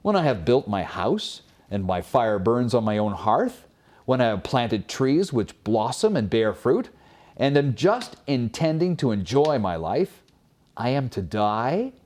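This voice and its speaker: A man's voice: medium pitch, big pitch swings, measured pace, a little mellow. A man voicing a vigorous, greedy human in a folk tale, pleading dramatically in formal, old-fashioned words. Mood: dismayed. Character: vigorous, greedy first man, begging for longer life.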